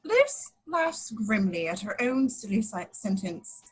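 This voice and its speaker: A woman's voice: medium pitch, sing-song, takes it slowly, breathy edge, low-quality recording. A woman reading scripted action descriptions as narrator at an informal table read, now and then stumbling and correcting herself. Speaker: narrator of stage directions.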